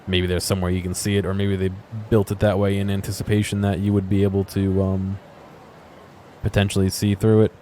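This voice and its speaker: A man's voice: sits low, monotone, a little fast, mellow, a little hiss or hum. A man giving casual, unscripted gameplay commentary, thinking aloud as he explores. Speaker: video game explorer and commentator.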